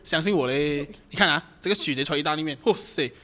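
A man's voice: on the high side, quick pace, a bit bright, lightly acted. A man with a Malaysian accent acting a playful, doting boyfriend in an amateur audio drama, in teasing, colloquial speech. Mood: boastful, playful. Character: playful, doting boyfriend who loves cooking.

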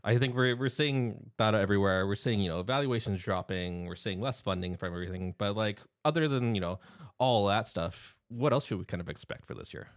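A man's voice: fairly low pitch, a little fast, no echo, studio quality. A man co-hosting a casual business podcast, joking and laughing easily, with loose, rambling phrasing. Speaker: podcast co-host.

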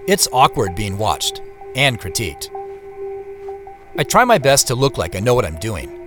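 An actor plays a man on a secret treasure hunt, telling it as it happens. His mid-pitched voice swings expressively as he confides his unease. It is audio drama narration, with faint music underneath. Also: sing-song, moderate pace, natural voice. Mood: uneasy. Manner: confiding, present-tense storytelling. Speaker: narrator and main character.

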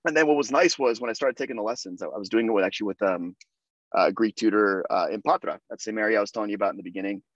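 Fast-talking young man, dull dry sound. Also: on the low side, no room sound. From United States. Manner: chatty, lots of fillers and restarts. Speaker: podcast guest. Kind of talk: casual interview conversation.

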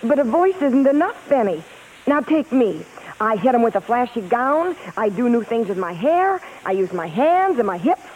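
A woman's voice: on the high side, very animated, moderate pace, darkish tone. A woman playing a devoted, encouraging stage-singer wife, coaxing and spirited, with an American accent in 1950s radio drama style. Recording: hissy, crackly old radio broadcast.

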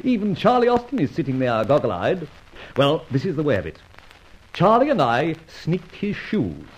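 An actor playing a suave, mocking gentleman adventurer in a vintage radio serial, with a breezily polite, sardonic manner. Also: a little high, wildly swooping pitch, moderate pace. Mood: smug, relaxed. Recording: noisy old radio recording.

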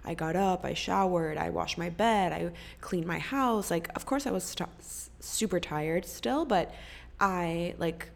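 A woman's voice: fairly low pitch, a little slow, vocal fry. A young woman hosting a podcast, chatting casually and rambling, swearing freely, and sounding congested. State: congested, coughing. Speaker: podcast host. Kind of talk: casual chat.

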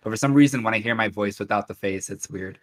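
Fast-talking hesitant man. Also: lowish voice. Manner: hesitant, restarts often. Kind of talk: casual podcast chat.

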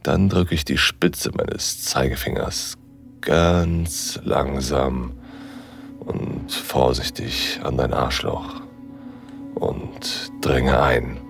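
A man playing a dominant, seductive professor in an intimate audio drama, speaking slowly and deliberately, at times hushed and breathy. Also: very deep voice, level tone, over music, exaggerated voice. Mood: slow, aroused. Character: dominant male university professor, seductive, teasing, commanding lover. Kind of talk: intimate second-person erotic audio drama narration.

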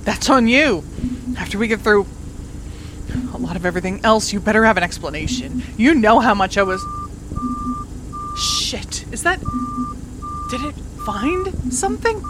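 Over clear vehicle noise, an exasperated woman rover driver in an audio drama. Her pitch moves around a lot as she mutters sarcastically to herself. She sounds sweary, irritated and natural. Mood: startled, confused.

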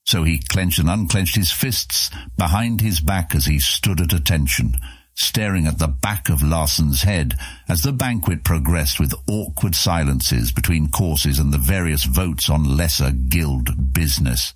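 A man's voice: a bass voice, unhurried, husky, no room sound. A man narrating a fantasy audiobook in a dramatic, scripted storytelling style.

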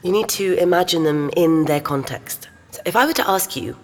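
Woman art guide, low-pitched, knowledgeable, patient, explanatory, obliging, audio drama, long museum-hall reverb. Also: animated, normal speed. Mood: explaining. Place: inside a museum.

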